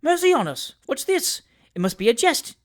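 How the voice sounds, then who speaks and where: A reader voicing a pompous elderly gentleman who speaks in high, rising oratorical flourishes in an audiobook. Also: lively intonation, moderate pace. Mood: shocked. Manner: high-pitched, oratorical, exclamatory. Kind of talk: audiobook character reading.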